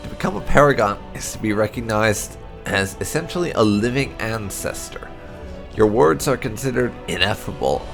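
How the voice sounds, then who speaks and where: A man with a low, gravelly voice reads fantasy lore like a storyteller, his pitch rising and falling widely. The delivery is measured and scripted, with soft music playing behind him. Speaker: gaming channel host reading game lore aloud.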